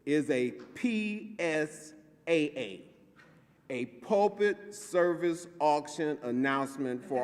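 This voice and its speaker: Guy stammering through a church announcement, slow, kinda high, reverby hall. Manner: halting, playing the straight man. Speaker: congregation member making an announcement. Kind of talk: comedic announcement skit, straight man. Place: large church sanctuary.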